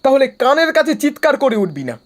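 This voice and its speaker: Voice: high voice, big pitch swings, quick pace. An actor playing a brash young man in a horror cartoon, bossy and mocking with his friends. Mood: irritated, scolding. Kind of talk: animated horror cartoon dialogue.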